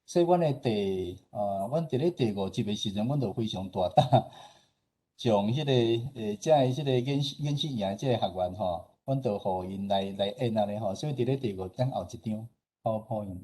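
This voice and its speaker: A man's voice: on the low side, expressive, normal speed, mellow, slightly rough. A man with a Taiwanese accent giving an informal, explanatory presentation as a radio station head, often tagging his sentences with particles.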